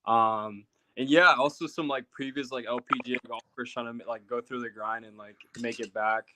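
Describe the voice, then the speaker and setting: A man's voice: mid-range voice, fairly even intonation, normal speed, sharp tone, slight background noise. A young man from Puerto Rico, a guest telling rambling stories in casual chat with many fillers.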